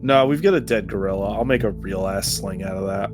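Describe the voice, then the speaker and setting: A man's voice: low voice, fairly quick, over music. A man chatting casually as a player in a tabletop role-playing game. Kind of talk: casual chat.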